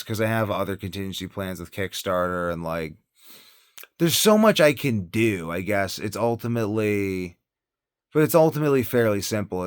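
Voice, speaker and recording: A man talking casually as a video blogger in a rambling monologue, halting and often trailing off mid-thought. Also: sits low, clear, resonant tone, no echo, studio quality. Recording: noticeable background noise.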